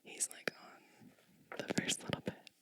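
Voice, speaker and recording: A woman whispering gently and breathily close to the microphone, reading a storybook aloud with chatty asides in an ASMR style. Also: no room sound. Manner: slow, gentle, hushed delivery with chatty asides.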